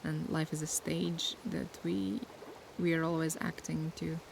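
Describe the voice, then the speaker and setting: A young woman chats softly in a relaxed ASMR Q&A, unhurried and reflective as she searches for words. Her voice stays level and flat, with a gravelly fry running through it. Steady sea noise washes behind her outdoors. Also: deep voice. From Latvia. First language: Latvian. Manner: soft, unhurried and thoughtful, pausing to search for words. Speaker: ASMR creator. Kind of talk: casual ASMR Q&A chat. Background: sea waves.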